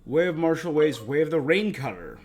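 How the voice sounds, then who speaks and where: A man's voice: fairly high pitch, big pitch swings, brisk, a little mellow. A man streaming a game live, commenting on play and reading the game's text aloud.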